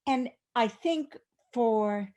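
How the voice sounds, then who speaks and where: A woman's voice: a little high, drawn-out words, warm tone. Earnest woman asking searching questions. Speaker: class participant.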